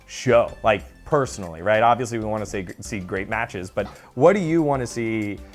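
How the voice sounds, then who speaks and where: An upbeat man in his thirties talks as an esports host in a slightly low voice. His delivery is casual, animated and enthusiastic, with music playing behind him. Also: normal speed. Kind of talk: casual enthusiastic interview chat.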